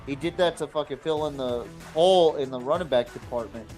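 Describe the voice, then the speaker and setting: A man with a voice a little higher than average hosts a casual sports podcast. He talks bluntly and swears freely, while faint music plays behind him. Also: a little fast, darkish tone. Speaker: podcast host.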